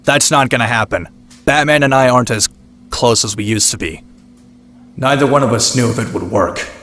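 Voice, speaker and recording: A man plays a young outlaw vigilante in a motion comic, defiant and wry. His mid-pitched voice is sardonic and self-deprecating, ringing in heavy echo. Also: moderate pace, fairly bright tone, faint music behind, lightly acted. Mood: brooding, wry. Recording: echoey, long reverb.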